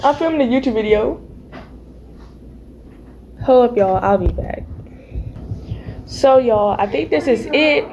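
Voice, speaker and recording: A teenage girl with a raspy, mellow voice, talking loosely and playfully about her faith and calling her listeners baby and y'all. There is a faint hum of room noise behind her. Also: on the high side, animated, unhurried, long pauses, rough recording. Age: teens. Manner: chatty and playful, calls viewers y'all and baby. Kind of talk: casual faith vlog chat. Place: home, early morning.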